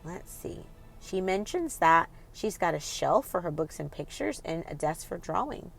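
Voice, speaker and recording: A woman's voice: lowish voice, expressive, measured pace, vocal fry, soft background music. A woman hosting a children's read-aloud, reading expressively and putting questions directly to young listeners in an instructive way.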